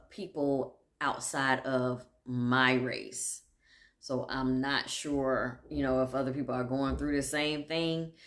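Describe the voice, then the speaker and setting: Low-voiced chatty woman with drawl. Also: fairly bright tone. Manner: chatty and candid, laughs often, drifts off track. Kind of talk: casual monologue.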